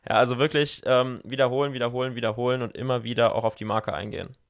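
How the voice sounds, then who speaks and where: Guy hosting a podcast, mid pitched voice, casual interview chat. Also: steady tone, fast, vocal fry.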